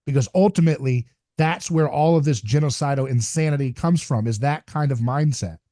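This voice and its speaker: A man's voice: medium pitch, fairly quick, mellow, dry recording, clean studio sound. A man hosting a conversation podcast, talking in an earnest, emphatic, somewhat preachy way. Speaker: podcast host. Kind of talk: casual conversation.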